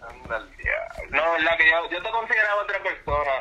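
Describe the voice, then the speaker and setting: A man's voice: fairly high pitch, very animated, brisk, sharp tone, slight background noise. A man with a Dominican accent having an exasperated phone conversation, heard as a tinny call over a speaker. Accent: Dominican Spanish. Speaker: singer receiving a prank call.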